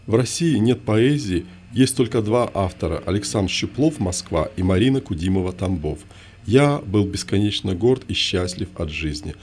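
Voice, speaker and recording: A man with a fairly low voice reads his memoir aloud in the first person. He keeps a measured, even pace, ticking off events one by one with terse, repeated phrases. The tone is steady and plain. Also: slight background noise. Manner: measured, list-like delivery with terse refrains. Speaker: memoir narrator.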